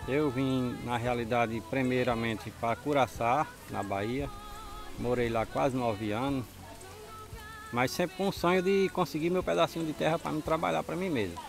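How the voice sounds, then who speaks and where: Northeastern Brazilian man with creaky voice. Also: mid-range voice, steady tone, normal speed. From Ceará, northeastern Brazil. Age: middle-aged. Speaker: interviewee, small farmer. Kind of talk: casual outdoor interview. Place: outdoors on a farm. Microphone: handheld mic with furry windscreen. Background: music.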